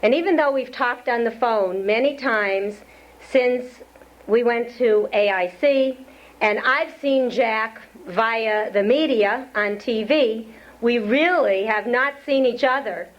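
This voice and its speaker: A woman with a fairly high voice introduces a guest speaker at a community event. She mixes personal asides with a read-out list of credentials. The sound is a dull, lo-fi 1992 lecture tape. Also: takes it slowly. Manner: warm personal asides before reading credentials. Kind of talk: formal introduction. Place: community center hall.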